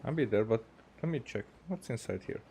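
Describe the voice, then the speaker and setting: A man giving casual, halting gameplay commentary as a streamer, thinking aloud as he plays. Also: mid-range voice, lively intonation, warm tone, slight background noise. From Hungary. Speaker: video game streamer.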